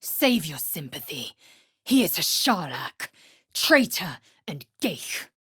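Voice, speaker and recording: Fantasy game role: proud, blunt warrior woman of a non-human race. Heavily rasped, breathy voice, imperious and unhurried, dry studio sound. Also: deep voice, very animated, fairly bright tone, no echo, full character voice. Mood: scornful, threatening. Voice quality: husky, raspy, breathy edge. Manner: clipped, imperious, disdainful, deliberate. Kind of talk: video game character voice acting.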